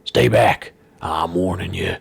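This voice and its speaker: A man voicing a gruff, menacing kidnapper who threatens in a slow, hissing half-whisper. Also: deep voice, full character voice. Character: gruff menacing male kidnapper, knife-wielding thug. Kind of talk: audiobook reading.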